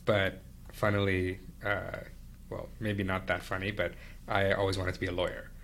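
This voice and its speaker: A man talks in a deep voice that stays quite flat. He is a podcast guest, reflecting and explaining at a measured, conversational pace with pauses. Faint background noise sits under the recording. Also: creaky. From India and Venezuela, then Canada from age thirteen. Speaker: podcast guest, business executive. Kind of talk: conversational interview, reflective personal storytelling.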